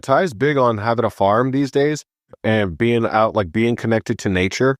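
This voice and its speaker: A man from Hawaii, a podcast guest, telling his story in a chatty, casual way and voicing himself with lively quotes. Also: medium pitch, moderate pace, no echo, studio quality. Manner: chatty, animated voice when quoting himself.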